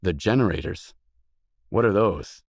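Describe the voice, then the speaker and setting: Low-voiced, blunt game hero, dry sound. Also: male voice, a little slow, creaky, dry recording, heavily acted. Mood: probing, wary. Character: gritty male stalker protagonist, wary, blunt, world-weary adult. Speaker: video game protagonist. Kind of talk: acted video game dialogue lines.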